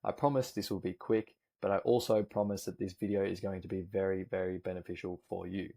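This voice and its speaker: A man from Australia with an Australian accent, a nutrition coach making an upbeat, persuasive pitch that lists points one after another. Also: level tone, brisk, vocal fry, dark tone. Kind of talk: sales pitch video.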